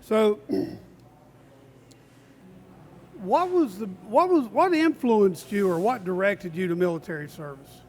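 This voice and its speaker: A man hosting a casual interview, with a noticeably high-pitched voice. He is friendly and affirming, calling his guest "brother" and chiming in often. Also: expressive, slow, pauses often, darkish tone. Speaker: host.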